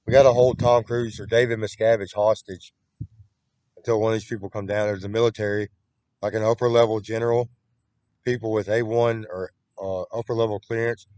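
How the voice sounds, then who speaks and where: A man's voice: lowish voice, mellow, no echo. A man talking in a rambling, repetitive solo monologue, with frequent restarts, recorded on a computer webcam.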